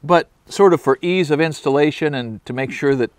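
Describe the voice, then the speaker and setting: A man's voice: a little high, moderate pace, dry recording. An older man, a carpenter, explaining his work in a plainspoken, practical way at an outdoor building site.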